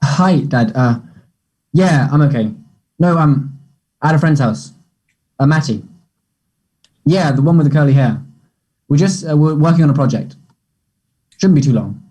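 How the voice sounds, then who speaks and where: Man playing shy anxious student, soft-toned, polite, hesitant, evasive, table-read drama. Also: a little high, expressive, measured pace, long pauses, low-quality recording. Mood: nervous, guarded.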